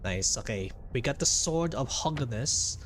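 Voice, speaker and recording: A man giving casual, jokey game playthrough commentary as a streamer, with drawn-out reactions. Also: medium pitch, lively intonation, a bit bright. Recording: game music behind the voice.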